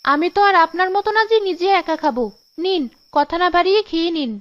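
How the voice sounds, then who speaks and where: A woman's voice: extremely high pitch, quick pace. A woman voicing a sensible, long-suffering village wife in a cartoon, plaintive yet patient. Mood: patient, firm. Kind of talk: cartoon dialogue. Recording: dull lo-fi audio with music behind.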